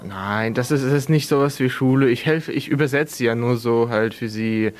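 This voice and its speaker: A teenage male student from Ukraine giving halting, casual answers in an interview. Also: mid-range voice. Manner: halting, unpolished speech. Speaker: student volunteer being interviewed. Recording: on-location with faint background noise.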